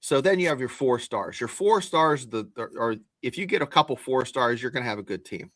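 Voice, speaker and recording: A man's voice: medium pitch, expressive, fairly quick, a bit bright, no echo. A man co-hosting a sports podcast, explaining his takes in a folksy, casual way. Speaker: podcast co-host.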